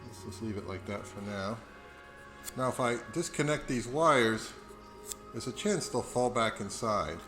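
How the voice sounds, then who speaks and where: A man hosting a video, explaining things casually in an unhurried, self-deprecating way. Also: medium pitch, lively intonation, music in the background. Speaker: video host.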